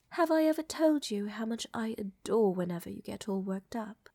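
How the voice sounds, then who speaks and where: A woman playing a flirtatious, doting aristocratic vampire countess in an intimate ASMR audio roleplay, with a soft, breathy murmur and elegant, teasing, soothing phrasing. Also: medium pitch, lively intonation, a little slow, no echo. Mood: tender, flirtatious.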